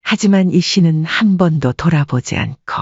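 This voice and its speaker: A woman's voice: on the low side, animated, normal speed, husky, dry recording, dull audio. A woman working as narrator, reading a scripted dramatic story.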